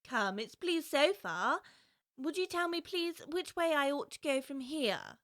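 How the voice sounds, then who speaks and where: Brief: a polite, curious little girl, high, bright tone, dry studio. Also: big pitch swings, a little slow, dry recording, full character voice. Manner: well-spoken and prim, wondering aloud. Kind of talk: full-cast audiobook acting.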